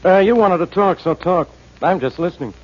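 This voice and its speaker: Exasperated, sarcastic police inspector. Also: male voice, high voice, animated, a little fast. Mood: irritated. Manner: sarcastic, grumbling. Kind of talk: 1940s radio acting. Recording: dull, lo-fi 1940s radio recording.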